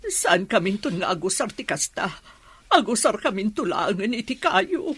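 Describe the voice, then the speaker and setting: A woman's voice: medium pitch, big pitch swings, moderate pace, a bit bright, slightly rough, heavily acted. A Filipino-accented woman playing a worried, anguished mother in a radio drama, speaking in a plaintive, emotional way.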